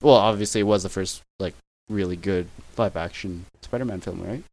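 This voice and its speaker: Podcast guest, young man, lowish voice, loose rambling delivery. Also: brisk, a little mellow. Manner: rambling, self-correcting, laughs easily. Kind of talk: casual chat over video call. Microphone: video call.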